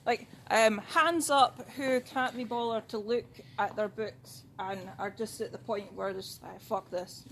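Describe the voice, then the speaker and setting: A woman's voice: a little high, steady tone, a little slow, hardly any pauses, a little hiss or hum. A young woman student interviewing her classmates in a casual, blunt way, swearing freely. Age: young adult. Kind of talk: casual chat.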